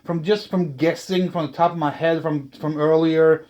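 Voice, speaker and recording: Guy with a slightly higher voice chatting through his diy project, kinda hesitant, thinking out loud, no script. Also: fairly even intonation, lo-fi sound. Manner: hesitant, thinking aloud, halting. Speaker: channel host, speaker builder. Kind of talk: casual unscripted hobby build talk.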